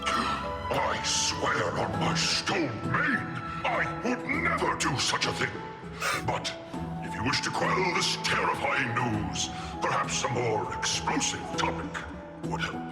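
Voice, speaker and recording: A man's voice: mid-range voice, huge pitch swings, takes it slowly. A man voicing a small, boastful lion-like gossip creature in a video game, grandiose and theatrical. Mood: mock-solemn. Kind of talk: video game character acting. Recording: echoey voice over game music.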